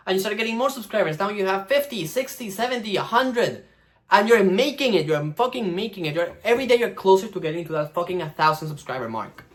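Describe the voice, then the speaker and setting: A young man giving casual, animated advice on starting a vlog channel, swearing freely and acting out the algorithm in silly voices. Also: fairly high pitch. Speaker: vlogger.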